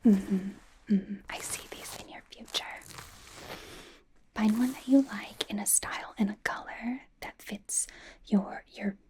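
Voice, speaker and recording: Woman playing young female pop idol, ASMR stylist roleplay, hushed whisper, unhurried, doting, reassuring, chatty. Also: medium pitch, steady tone, lightly acted. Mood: encouraging, attentive. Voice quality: breathy whisper. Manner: gushing, reassuring, chatty with trailing repeats. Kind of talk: ASMR roleplay, personal attention.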